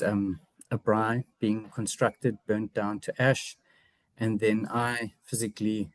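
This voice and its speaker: An artist from South Africa with a South African English accent, giving a reflective seminar presentation about his own work, pausing often with fillers and restarts. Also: fairly low pitch, dry recording. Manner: hesitant, frequent fillers and restarts; reflective, personal.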